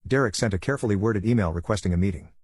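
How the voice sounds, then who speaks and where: Male narrator for scripted drama. Lowish pitch, brisk pace, very dry recording. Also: darkish tone, slightly rough, no room sound. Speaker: story narrator.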